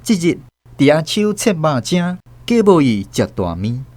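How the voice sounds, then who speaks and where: A man's voice: on the high side, sing-song, unhurried, a little mellow. A man with a Taiwanese accent, telling a story as the narrator of a radio drama. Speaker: host and narrator.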